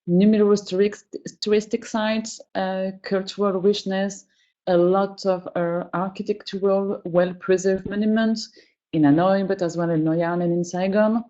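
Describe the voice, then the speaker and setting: A woman trainer from a travel company gives a webinar presentation in non-native English, often pausing and searching for words. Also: medium pitch, fairly even intonation, warm tone, dull audio.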